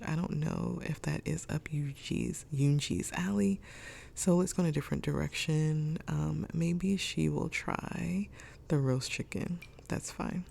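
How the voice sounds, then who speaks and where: A young woman with a raspy voice from a cold, chatting her way through a life-sim game with lots of ums. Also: low-pitched, dark tone. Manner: chatty, thinking aloud with many ums. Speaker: gaming YouTuber. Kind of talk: casual let's-play commentary. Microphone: desk microphone.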